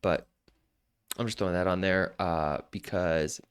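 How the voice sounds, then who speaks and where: A man's voice: deep voice, steady tone, measured pace, clean tone. A man teaching vocal mixing in a casual, loose tutorial from a home studio, often restarting his sentences as he explains. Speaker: mixing instructor. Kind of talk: casual screen-share tutorial.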